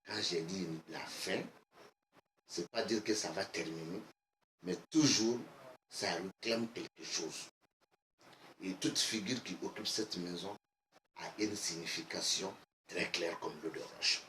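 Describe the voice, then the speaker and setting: A man with a West African accent, a native Bambara speaker, explaining like a teacher in a deliberate, emphatic way with repetition. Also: low voice, pushed and bright. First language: Bambara (Bamanankan). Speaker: geomancy teacher, online video host. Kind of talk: instructional explanation. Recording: echoey room, faint background noise.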